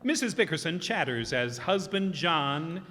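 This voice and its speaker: Formal radio announcer, male, animated and high-pitched, hall reverb. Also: a little slow. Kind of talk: old-time radio announcer reading.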